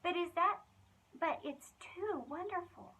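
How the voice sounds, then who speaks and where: A humble young woman of faith read by a woman, with a high, bright voice. She speaks earnestly and with wonder, as in a children's storybook. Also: a little slow, slight background noise, heavily acted. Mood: awed, doubting.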